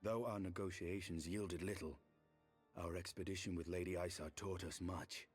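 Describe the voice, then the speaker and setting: An actor playing a grave, battle-worn fantasy knight in a video game, speaking in measured, formal archaic phrasing. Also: male voice, low-pitched, fairly even intonation, breathy edge. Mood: grave, reflective. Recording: game audio with music behind.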